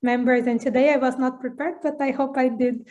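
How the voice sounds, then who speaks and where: Brazilian-accented woman, high voice. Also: steady tone, a little fast, darkish tone, muffled recording. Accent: Brazilian Portuguese. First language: Portuguese. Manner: hesitant, many fillers, frequent laughs. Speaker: TV channel representative. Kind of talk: online business meeting chat.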